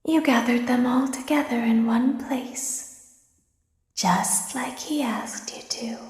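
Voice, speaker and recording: A woman playing a quietly vengeful, girlish animatronic in a video game, soft, whispery and taunting. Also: a little high, takes it slowly. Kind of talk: video game character voice lines. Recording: echoey, reverberant hall.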